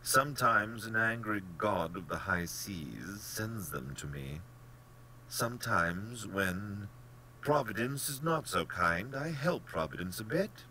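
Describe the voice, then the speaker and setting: A man's voice: fairly low pitch, animated, slow, pushed and bright, slight background noise, voice pushed up, exaggerated voice, poor audio. A man voicing a genteel, smug, cold-blooded general who hunts men, speaking with pompous, blandly polite theatrical emphasis in an audiobook. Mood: smugly sly. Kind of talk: dramatic audiobook character acting.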